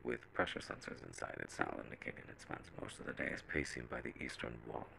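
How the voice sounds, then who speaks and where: A man playing a measured, clinical reader of a scripted horror audio log, with a very deep, gravelly voice. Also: big pitch swings, heavy background noise, heavily acted. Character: SCP Foundation document reader and shaken field soldier.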